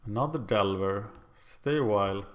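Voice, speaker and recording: A man's voice: lowish voice, moderate pace, fairly bright tone. A man from Sweden with a Swedish accent, casually commenting on his own card game as he plays, thinking aloud and self-deprecating.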